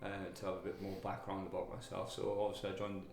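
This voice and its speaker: Male interview guest, very low and gravelly, hesitant delivery, roomy echo with music under. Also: completely flat delivery, darkish tone. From Wales. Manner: halting, many fillers and restarts. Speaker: guest, army veteran. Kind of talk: candid personal interview. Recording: echoey room with music behind.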